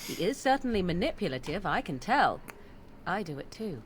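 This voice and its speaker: A woman's voice: lowish voice, big pitch swings, moderate pace, a little hiss or hum. An actress playing a haughty, cutting young woman in a fantasy video game, speaking with imperious disdain. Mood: wry, cool.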